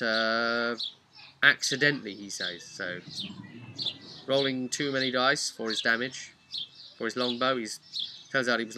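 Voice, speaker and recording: A man giving a casual, rambling recap of a tabletop roleplay session as the game master, telling it in an animated way. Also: medium pitch, background noise. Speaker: game master and vlog host.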